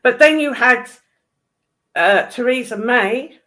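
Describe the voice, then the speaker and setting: A woman's voice: a little high, slow, fairly bright tone. A British woman in casual political chat, speaking in a blunt, opinionated way with some swearing. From United Kingdom.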